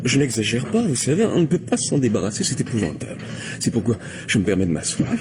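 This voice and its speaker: An actor playing a glib, insistent gentleman in an old French radio play, talking a lot, wheedling and stammering. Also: medium pitch, sing-song, fast, lightly acted. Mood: flustered pleading. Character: glib, insistent gentleman pestering a young woman. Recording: noisy old radio drama recording.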